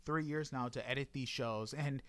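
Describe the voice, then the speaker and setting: A man's voice: mid-range voice, moderate pace, fairly bright tone, no echo. Chatty American man hosting an interview. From United States. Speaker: podcast interviewer. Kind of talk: casual interview chat.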